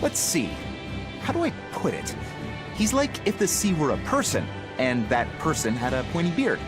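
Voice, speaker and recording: A man's voice: fairly high pitch, huge pitch swings, normal speed, music in the background. A man playing a jaunty, talkative young pirate sailor in a fantasy video game. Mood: wry, musing. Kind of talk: English-dubbed fantasy game acting.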